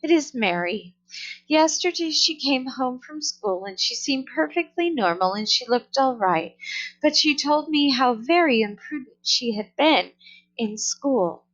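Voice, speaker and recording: A woman's voice: high-pitched, expressive, measured pace, a bit bright, muffled recording, full character voice. A woman voicing a worried, fussy storybook mother who frets and exclaims about her child. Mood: worried, indignant. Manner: fretful, exclamatory.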